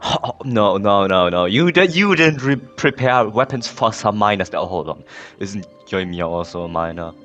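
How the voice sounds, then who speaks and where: Deep-voiced young man, sarcastic gamer. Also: animated, a bit bright, lo-fi sound. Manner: halting, sarcastic, repeats words. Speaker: gamer recording a playthrough. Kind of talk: casual game commentary. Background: faint game music.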